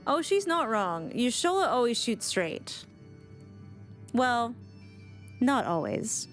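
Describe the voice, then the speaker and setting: Woman, game streamer, casual reaction commentary, chatty, musing, slightly high voice, soft music behind. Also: big pitch swings, takes it slowly.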